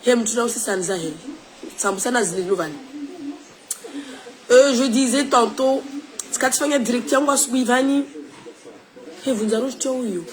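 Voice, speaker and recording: A woman's voice: fairly high pitch, normal speed, pauses often, poor audio. A woman, recovering from flu, talking casually and opinionatedly to her followers while switching between languages. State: recovering from flu, tired-sounding. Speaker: social media creator. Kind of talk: casual talk to followers, mixing in Shimaore.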